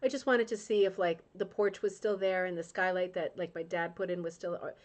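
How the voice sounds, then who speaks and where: A woman's voice: fairly low pitch, fairly even intonation, brisk. Chatty woman hosting a livestream. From Rhode Island, United States. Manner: chatty and emotional, with frequent tag questions. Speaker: host of a crafting club livestream. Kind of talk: casual livestream chat, reading viewer comments.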